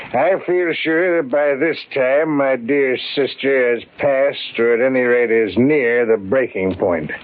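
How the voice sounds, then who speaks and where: A man plays a scheming brother in a vintage radio thriller. He speaks formally and with measured care at a middling pitch. The sound is thin and band-limited. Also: lightly acted. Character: smooth, scheming brother plotting against his sister. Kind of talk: old-time radio thriller acting. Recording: narrow-band old radio recording.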